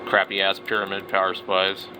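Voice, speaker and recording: Low-voiced middle-aged man over background noise. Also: monotone, a little fast. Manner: blunt, opinionated, mildly slangy. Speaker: hobby radio vlogger. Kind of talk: casual, unscripted product browsing commentary.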